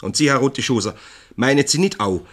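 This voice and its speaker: An actor with a Swiss German accent playing a formal, slightly pompous, placating company director in a radio comedy. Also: male voice, mid-range voice, expressive, brisk, fairly bright tone. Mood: conciliatory. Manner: formal, pompous, hesitant when mediating. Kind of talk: 1970s radio comedy acting.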